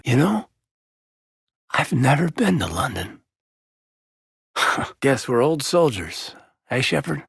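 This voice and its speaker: A loyal, wounded soldier in a cinematic game, speaking in a husky mid-range voice. His delivery is sincere and measured, with hesitant pauses and expressive swings in pitch. The sound is close and dry. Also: no room sound, heavily acted. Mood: warm, teasing. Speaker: video game character, military officer. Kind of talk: cinematic video game dialogue acting.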